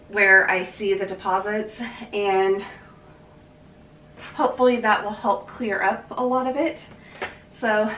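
Woman in her 30s, casual cleaning chatter, thinks out loud, echoey kitchen, a bit off-mic. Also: mid-range voice, far from the mic, a little hiss or hum. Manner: chatty, thinks aloud with fillers and restarts. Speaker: cleaning vlogger. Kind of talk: casual talk while cleaning. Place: home kitchen, indoors. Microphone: camera mic from a few feet away. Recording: roomy kitchen sound from off-mic.

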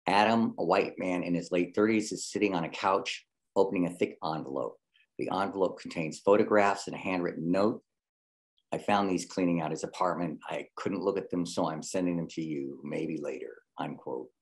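Very deep-voiced man narrating a script. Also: normal speed. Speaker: reader of stage directions.

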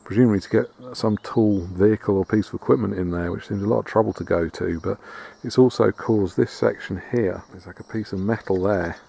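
Low gravelly man touring an old barn. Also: fairly quick, few pauses. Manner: relaxed, hesitant with fillers and restarts. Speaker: vlogger restoring a farmhouse. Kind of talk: casual walk-around tour. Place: outdoors in a farmyard and inside a large barn.

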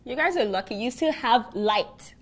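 A woman's voice: fairly high pitch, lively intonation, moderate pace. Emphatic young woman. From Nigeria. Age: 20s. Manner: emphatic, repeats phrases for effect. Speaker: university student interviewee. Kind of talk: earnest documentary interview. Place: indoors by a large window.

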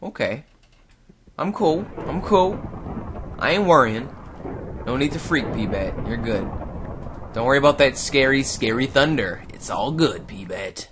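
A young man with a middling pitch commentates on a game he is playing. He rambles in a casual, chatty way, drifting between talking to himself and addressing his viewers. He speaks close to a desktop microphone. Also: animated, measured pace, pauses often, noisy background. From Canada. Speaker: gaming YouTuber. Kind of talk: casual let's-play commentary.

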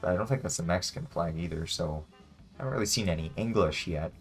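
A man's voice: sits low, lively intonation, fast. A young adult man thinking aloud in casual chat as he plays a guessing game. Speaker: player in a geography guessing game. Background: music in the background.

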